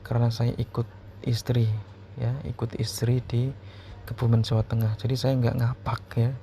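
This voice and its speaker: A man's voice: mid-range voice, monotone, moderate pace, creaky, mellow. A man with a Central Javanese accent chats casually to his viewers, explaining things and often repeating himself to check they follow. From Purwodadi Grobogan, Central Java, Indonesia. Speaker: YouTuber answering viewers' questions. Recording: muffled, lo-fi, faint background noise.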